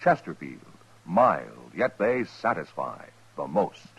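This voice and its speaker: A man's voice: sits low, sing-song, slow pace, vocal fry, very noisy. An American man announcing and reading ads in polished, emphatic 1950s radio style. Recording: muffled old radio, music behind.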